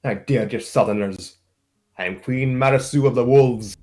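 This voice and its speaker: A man's voice: medium pitch, normal speed, a little mellow, voice pushed up, lightly acted. A man voicing a haughty young wild woman, a villainous wolf queen who snaps out imperious threats in a story.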